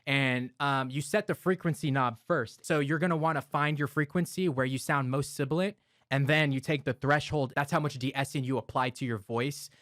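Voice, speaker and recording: A man reviewing audio gear in a relaxed step-by-step way, speaking close to a broadcast microphone in a home studio. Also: medium pitch, moderate pace, no room sound. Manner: relaxed, step-by-step explaining with filler words. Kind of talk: explanatory gear walkthrough. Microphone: close broadcast dynamic mic.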